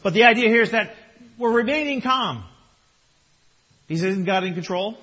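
Man pastor, somewhat high and husky, strained voice, conversational sermon, muffled recording. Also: expressive, moderate pace. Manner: conversational preaching, swings between soft and loud. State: slightly strained voice.

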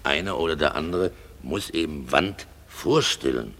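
Nen wichtigtuerischen weber, tiefe kratzige stimme, springt rauf und runter. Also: male voice, very deep voice, lively intonation, normal speed, a bit bright, a little hiss or hum, full character voice. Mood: eager. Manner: self-important, hesitant with fillers. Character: pompous male weaver, amateur actor. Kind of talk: German radio play acting.

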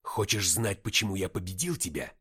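Man acting a proud, slow-spoken half-angel warrior. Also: low voice, expressive, sharp tone, breathy edge, heavily acted. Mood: calm, smug. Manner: emphatic, slow, drawn-out declarations. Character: proud half-angel male warrior, taunting, calm in victory.

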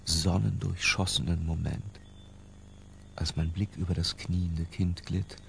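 An actor playing an obsessive, lyrical middle-aged intellectual who confesses in a hushed, deliberate literary radio drama narration. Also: male voice, deep voice, flat delivery, creaky, slight background noise, full character voice. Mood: feverish reverie. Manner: hushed, deliberate, breaking into near-whispers.

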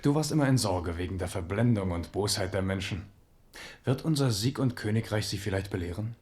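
An actor in a German radio play playing a genial, earthy king who talks in a familiar, theatrically expressive way. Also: on the low side, brisk, close to an everyday voice. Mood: wistful, subdued. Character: genial, earthy king, warm with commoners and old comrades.

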